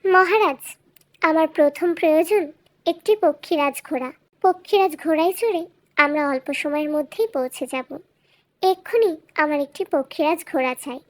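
A woman's voice: sits high, moderate pace, close to an everyday voice. A woman voicing a small, wise magical talking bird in a children's cartoon fairytale, polite, formal and assured. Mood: polite, insistent.

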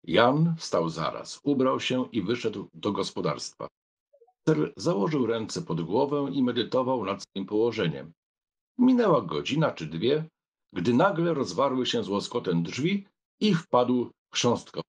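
A man narrates a literary audiobook. His voice is husky and of medium pitch, with lively, varied intonation as he reads. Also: measured pace.

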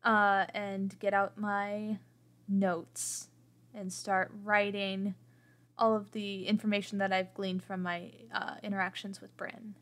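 A woman playing a tabletop game, improvising her character's actions as she thinks aloud in a halting way. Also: mid-range voice, level tone, a bit bright.

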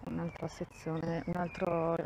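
A woman's voice: lowish voice, completely flat delivery, unhurried, gravelly, background noise. A woman giving a casual walking tour of a campground, thinking aloud and often restarting her sentences, recorded on a handheld phone outdoors. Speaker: vlogger touring a campsite.

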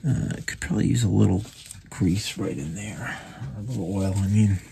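A man talks through a repair in a very low, gravelly voice. His delivery is unscripted and halting, as he thinks aloud while he works. There is clear background noise in the workshop recording. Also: mellow. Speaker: radio repairer demonstrating his work. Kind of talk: casual hands-on repair commentary. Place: indoor workbench. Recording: noisy workshop recording with clicks.

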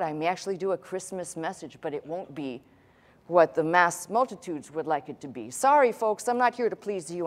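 Blunt woman preacher. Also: fairly low pitch. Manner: blunt, emphatic, conversational, unapologetic. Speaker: pastor. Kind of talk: sermon, teaching a congregation. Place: church stage in a large indoor hall.

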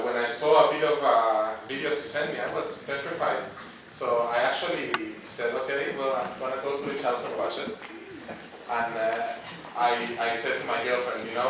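A man with a mid-range voice speaks haltingly as he hosts a live festival event. His voice booms around a very echoey theater and is caught from far off, with clear noise over it. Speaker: festival programmer and Q&A host. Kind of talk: informal live stage introduction. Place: movie theater stage. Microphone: handheld PA microphone, picked up from audience. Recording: echoey, noisy theater, far from camera mic.

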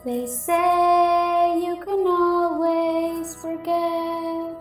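A woman playing a working-class washerwoman who sings slow, lullaby-like songs at her chores. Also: extremely high pitch, level tone, heavily acted. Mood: wistful singing. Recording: echoing, distant, music behind.

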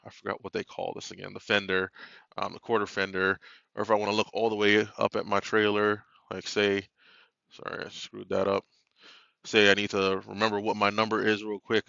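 A man who drives a flatbed truck, filming from inside his cab on a handheld phone and talking through a product in a casual, rambling way with lots of fillers. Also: on the low side, creaky, dry recording, lo-fi sound. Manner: rambling, filler-heavy, self-correcting. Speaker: truck driver reviewing a dash cam. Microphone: phone held while filming the dashboard.

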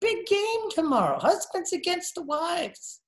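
High-pitched voice, gleeful silly sidekick, swooping intonation, gushing, radio comedy. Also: male voice, very animated, a little slow, exaggerated voice. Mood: chirpy, eager. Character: gleeful, chattering male radio-show sidekick, teasing and silly. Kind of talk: old-time radio comedy acting. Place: indoor room at home. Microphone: computer mic on video call.

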